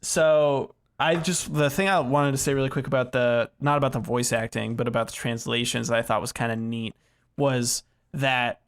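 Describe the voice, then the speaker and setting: A man's voice: mid-range voice, fairly even intonation, normal speed, smooth. Casual joking man co-hosting a gaming podcast. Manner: rambling, filler-heavy, joking. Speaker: podcast co-host. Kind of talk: casual rambling chat about video games.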